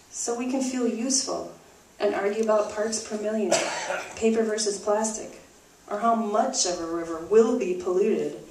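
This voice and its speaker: A woman presenting a scripted live show in a theatrical, conversational storytelling manner. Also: medium pitch. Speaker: puppet show performer and presenter. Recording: roomy, distant mic, faint noise.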